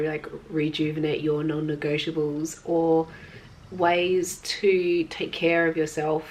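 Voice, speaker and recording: A woman, a little croaky from illness, narrating her daily routine in a soft, unhurried, reflective way. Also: lowish voice, a little hiss or hum, rough recording. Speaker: lifestyle vlogger. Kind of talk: casual voice-over narration. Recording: voice-over with loud background music.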